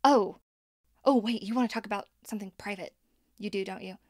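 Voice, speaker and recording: A woman's voice: mid-range voice, fairly quick, darkish tone, dry recording, clean studio sound, natural voice. An actress playing a nervous young woman who hosts a podcast, rambling and laughing as she talks. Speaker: podcast host. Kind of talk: audio drama acting.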